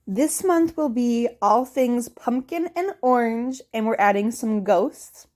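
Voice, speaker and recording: A young woman narrating a journaling video in a chatty, upbeat voice-over, slightly hoarse from a cold. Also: on the high side, animated, slow pace, mellow. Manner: chatty, upbeat, talks straight to viewers. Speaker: bullet journal vlogger.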